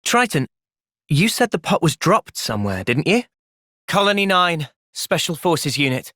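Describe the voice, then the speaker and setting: A man playing an earnest, thoughtful young soldier and party leader in a video game, sincere and resolute in a measured way. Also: a little high, big pitch swings, bright, forceful tone, dry recording, studio quality, full character voice. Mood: firm, decisive. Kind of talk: video game cutscene acting.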